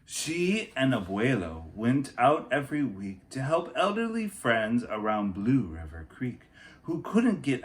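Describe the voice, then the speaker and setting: A man teacher reading a picture book aloud to children, with expressive, emphatic delivery and softer, chatty asides. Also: lowish voice.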